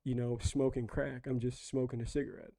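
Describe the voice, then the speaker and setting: Hoarse male book-club host, rambling casual talker with a dark, gravelly, monotone voice. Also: mid-range voice, brisk, dry recording. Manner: rambling, conversational, lots of fillers.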